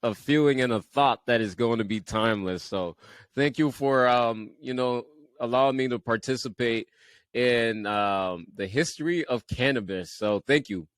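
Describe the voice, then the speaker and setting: A Liberian-accented man hosts a podcast conversation, relaxed and rambling, stumbling over words and chuckling at himself. His voice sits at an average pitch. The sound is dry, close to the mic. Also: moderate pace, clean tone, no echo. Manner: laid-back, repeats words and stumbles, laughs at himself. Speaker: podcast host. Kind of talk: casual podcast interview.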